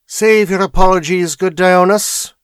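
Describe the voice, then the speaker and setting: A man's voice: high voice, fairly even intonation, clear, resonant tone, no room sound, clean studio sound, voice pushed up. A man voicing a foppish young nobleman who lisps affectedly and speaks with languid disdain. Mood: haughty. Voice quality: affected lisp. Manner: fastidious, disdainful drawl.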